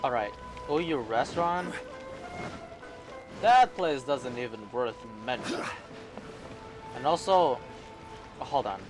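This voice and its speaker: A man's voice: medium pitch, animated, takes it slowly, pauses often, over music. A man streaming a video game, giving casual off-the-cuff commentary and thinking aloud.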